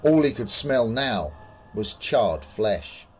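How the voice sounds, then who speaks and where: A man's voice: medium pitch, big pitch swings, slow pace, a little hiss or hum. A man reading scripted horror fiction as a dramatic story narrator.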